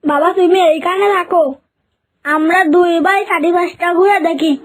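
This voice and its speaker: A woman's voice: extremely high pitch, steady tone, moderate pace. A woman voicing an eager young dinosaur boy in a children's cartoon. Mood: excited, pleading.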